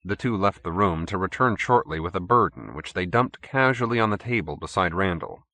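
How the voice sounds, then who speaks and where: A man's voice: low voice, expressive, brisk, sharp tone, no echo. A man reading fiction as an audiobook narrator, in scripted storytelling style.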